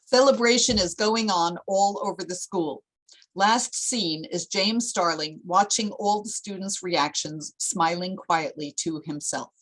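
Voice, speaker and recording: Lower-pitched woman reading stage directions. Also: measured pace, rough recording. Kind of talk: scripted narration of a screenplay.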